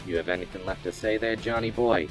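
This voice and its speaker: A man's voice: lowish voice, fast, over music, close to an everyday voice. An actor playing a smug, cruel gang boss in an audio drama, mocking his target with taunting questions. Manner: mocking, drawling rhetorical questions.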